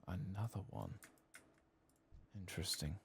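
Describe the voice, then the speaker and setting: A man giving soft-spoken ASMR commentary on a game, sometimes dropping into a whisper. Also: sits low, measured pace, gravelly. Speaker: gaming ASMR streamer. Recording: faint keyboard and clicking noise.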